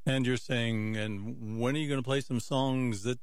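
A man's voice: mid-range voice, steady tone, moderate pace, smooth, no echo. Casual man hosting radio, dry close sound. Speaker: radio show host, disc jockey. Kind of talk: casual music radio chat between records.

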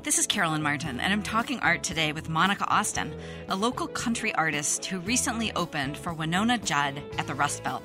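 A woman hosting a radio arts show, interviewing a guest with measured, gently prompting questions. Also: low voice, lively intonation, loud music behind the voice.

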